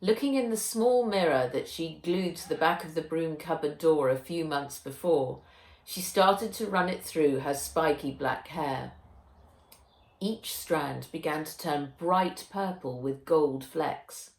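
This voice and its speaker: A woman reading a children's novel aloud as its author, in a measured, expressive storytelling way. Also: low voice. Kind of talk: children's audiobook narration. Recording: roomy echo.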